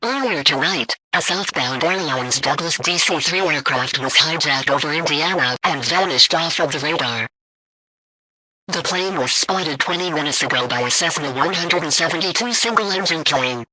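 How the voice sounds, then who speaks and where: Male emergency alert announcer, very sharp bright tone, dry sound, formal scripted delivery. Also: a little high, animated, normal speed, dry recording, poor audio.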